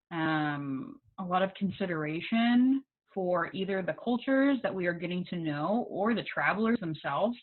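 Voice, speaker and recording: A young American woman talks casually with a class over a video call, full of ums and likes. Her voice sits at an ordinary pitch for a woman. The sound is thin and tinny, as if through a phone. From United States. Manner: chatty, with many ums and likes. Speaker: guest English teaching assistant. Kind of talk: casual conversation with students.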